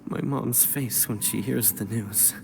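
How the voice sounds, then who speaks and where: An actor playing a dying young male warrior in a game, spent and halting, wryly brave and resigned. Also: medium pitch, gravelly. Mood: resigned, wistful. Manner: weak, halting. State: spent, near death. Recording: echoing hall-like reverb, ambient noise.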